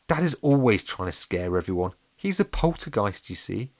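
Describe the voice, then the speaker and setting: Young boy ghost for a storybook, kindly, apologetic, lively pitch, played by a man. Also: normal speed, no echo. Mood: apologetic, friendly. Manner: apologetic, gently reproachful. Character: friendly, embarrassed eleven-year-old boy ghost.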